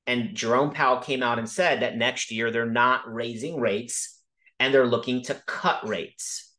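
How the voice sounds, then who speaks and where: A man casually teaching about markets over a video call, talking quickly and emphatically and often checking in with "okay?". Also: medium pitch, steady tone, bright, forceful tone. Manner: fast, emphatic, frequent "okay?" check-ins. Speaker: crypto educator hosting a Zoom call. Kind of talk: casual screen-share teaching.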